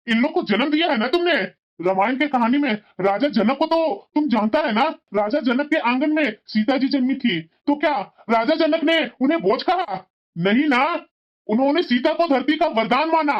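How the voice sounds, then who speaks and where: A woman voicing a stern, righteous male temple priest in a moral cartoon, suspicious and reproachful. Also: sits high. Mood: righteous anger. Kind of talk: Hindi family moral cartoon acting. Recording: dull, lo-fi cartoon voice track.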